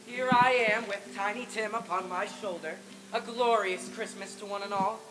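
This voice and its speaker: A woman's voice: mid-range voice, moderate pace, noisy background, natural voice. Woman playing a kindly, cheerful father, echoing hall. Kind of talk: old time radio stage reading. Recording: reverberant hall.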